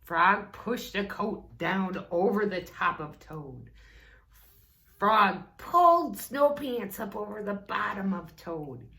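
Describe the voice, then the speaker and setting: A woman reading a story aloud to young children in an unhurried, expressive way, with casual questioning asides. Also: mid-range voice, creaky. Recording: roomy echo.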